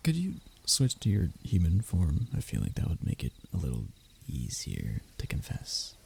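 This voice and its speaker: A man's voice: sits low, wildly swooping pitch, moderate pace, gravelly, mellow, a little hiss or hum, heavily acted. A man playing a stubborn, scheming, lovestruck young human royal held captive, in a close audio roleplay with flowery wording and flustered stammers. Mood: flustered, shy. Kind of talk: audio roleplay acting, addressing the listener closely.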